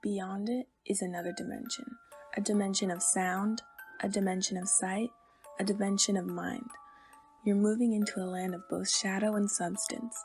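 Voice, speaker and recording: A solemn, mysterious narrator for a radio anthology, voiced by a woman. Her mid-pitched voice is very even and measured, with soft chiming music underneath. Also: lightly acted. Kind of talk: dramatic scripted narration. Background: faint chime music.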